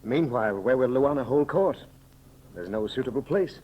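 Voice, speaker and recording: Earnest, formal Atlantean commander. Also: male voice, mid-range voice, animated, moderate pace, breathy edge, dull audio. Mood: earnest, collegial. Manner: formal, grave diction. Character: earnest, loyal Atlantean commander, the hero's companion. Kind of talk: 1930s radio serial acting. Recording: noisy old 1930s radio recording.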